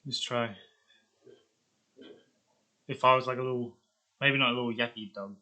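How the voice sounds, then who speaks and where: A man's voice: medium pitch, fairly even intonation, normal speed, sharp tone. A man hosting a video in a casual, rambling chat, thinking aloud as he goes. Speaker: video host.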